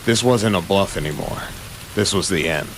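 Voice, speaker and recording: A man's voice: low-pitched, normal speed, noisy background. A man narrating an audio drama in a suspenseful, dramatic scripted style, with short punchy phrases.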